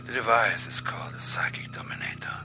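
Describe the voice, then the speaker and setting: An actor playing a middle-aged mind-control villain in a game, with a hushed, breathy, menacing and mock-courteous delivery. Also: male voice, a little fast, in a whisper, over music, lightly acted. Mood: smug menace. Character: sinister bald male mind-control villain bent on world domination. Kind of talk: theatrical villain acting in game cutscene.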